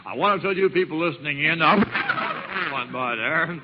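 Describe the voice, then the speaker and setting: Hesitant, giggly radio field reporter, very noisy recording. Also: male voice, on the high side, wildly swooping pitch, moderate pace, dull audio. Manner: hesitant, rambling, chuckling. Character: hapless, giggly male track-side radio assistant. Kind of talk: 1940s radio comedy sketch, on-the-spot reporting.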